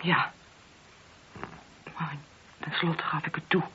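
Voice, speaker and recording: An actress playing an anguished married woman in a radio play, speaking in a husky, halting, confessional way. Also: deep voice, fairly even intonation, pushed and bright, a little hiss or hum, heavily acted. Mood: subdued, ashamed. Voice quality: very husky, breathy. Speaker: voice actor. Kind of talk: Dutch radio play acting. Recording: dull, muffled old radio recording.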